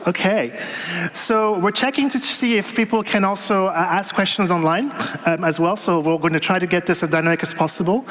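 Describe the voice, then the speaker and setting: A man's voice: high-pitched, a bit bright. A man moderating a panel Q&A in a playful, upbeat way, with many fillers. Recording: long hall reverb.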